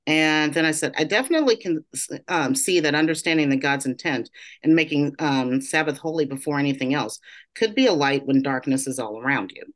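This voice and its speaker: A middle-aged woman shares a casual reflection in a class discussion. Her voice is gravelly with a cold, and she rambles conversationally, leaning on "like" and loose trailing phrases. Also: sits low, lively intonation, a little fast. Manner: rambling, with frequent "like" and trailing "and everything". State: has a cold. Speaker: class participant.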